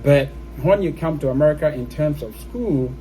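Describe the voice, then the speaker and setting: Mellow-voiced Ghanaian man talking casually. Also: fairly high pitch, lively intonation, moderate pace, a little hiss or hum, rough recording. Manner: explanatory, often checks in with "okay?" and "you know". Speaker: vlogger. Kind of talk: casual opinion talk to camera.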